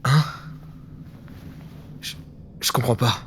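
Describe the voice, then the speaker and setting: A man's voice: medium pitch, takes it slowly, natural voice. A man playing a wary, defiant secret service agent in a French audio drama, speaking tersely. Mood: shaken disbelief. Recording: faint background noise.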